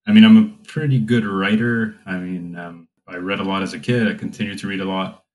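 A young man hosting a relaxed conversation, talking in a thoughtful way with plenty of fillers. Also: sits low, a little fast, rough recording. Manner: reflective, with frequent fillers. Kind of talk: casual conversation.

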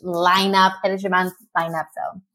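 A woman's voice: lowish voice, little pitch movement, moderate pace, a bit bright. A woman from Mexico, a guest on a virtual interview, talking casually with lots of fillers and restarts over a video call. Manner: chatty, many fillers and restarts. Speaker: guest, body positivity creator.

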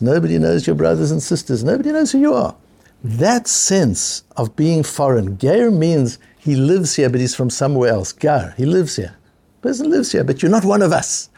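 A man's gravelly, husky voice gives a Talmud lesson. He speaks earnestly, repeating phrases for emphasis, and slips Hebrew and Yiddish terms into his sentences. The voice sits a little high for a man, with a dark, mellow tone. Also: sing-song, normal speed. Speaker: rabbi teaching a Talmud class. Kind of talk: Talmud class lecture.

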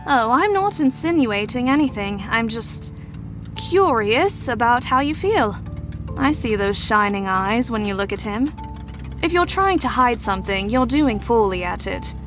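A woman playing a shrewd, teasing adult mentor to troubled teens in an audio drama, with a measured, knowing, probing delivery. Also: fairly high pitch, lively intonation, over music. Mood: knowing, confident.

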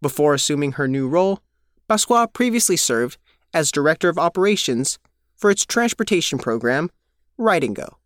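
A man's voice: a little high, a bit bright, no room sound, studio quality. A man narrating a scripted news feature as a reporter.

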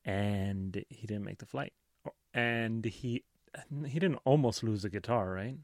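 A man's voice: fairly low pitch, unhurried, no echo, studio quality. A man co-hosting a podcast, chatting casually and sharing practical tips. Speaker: podcast co-host.

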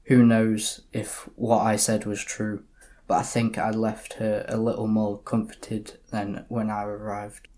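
A man playing a calm, sober detective inspector in an audio drama, speaking in a measured, formal way. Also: fairly low pitch, noisy background, close to an everyday voice. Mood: calm, consoling. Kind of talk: community audio drama narration and dialogue.

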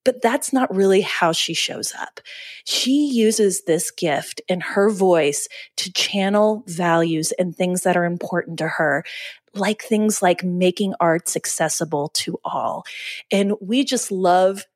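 A woman's voice: on the low side, slow, no room sound. Effusive female host, gushing intro, dry booth sound. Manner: enthusiastic, gushing. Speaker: podcast host.

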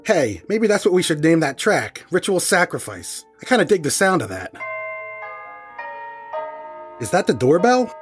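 An actor playing a laid-back, crude guitarist who talks in excitable slang. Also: male voice, fairly high pitch, normal speed, long pauses, faint music behind. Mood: excited.

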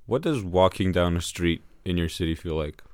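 A young man hosts a student podcast, giving friendly, brief prompts. His voice is very low and sits close to a dry mic. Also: normal speed, darkish tone, smooth, dry recording. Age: young adult. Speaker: podcast host. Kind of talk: casual podcast hosting.

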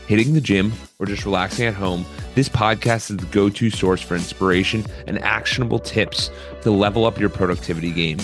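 A man reading a polished, promotional intro for his podcast as host. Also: fairly low pitch. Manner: polished, promotional, read from a script. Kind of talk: scripted show intro. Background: faint music.

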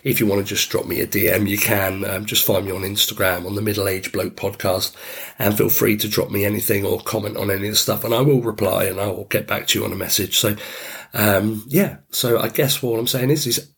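Middle-aged male podcast host, croaky with a cold, lowish and husky, relaxed rambling delivery. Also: a little fast. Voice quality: deep and croaky. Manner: easygoing, rambling, frequent "you know". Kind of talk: casual reflective monologue.

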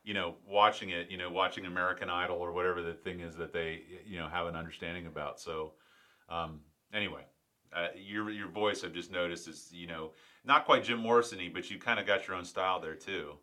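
A middle-aged man from Florida hosts a loose, casual interview. His voice sits very low and deep, yet the tone comes out bright and forward. He rambles, circling back with fillers and half-finished restarts before landing on his question. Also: steady tone, a little fast. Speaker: podcast host.

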